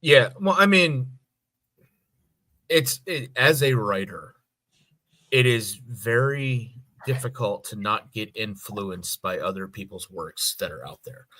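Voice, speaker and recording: A man, middle-aged, in a casual podcast discussion, reasoning aloud in a halting way and rephrasing as he goes. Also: on the low side, animated, pushed and bright, no room sound. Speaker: podcast co-host.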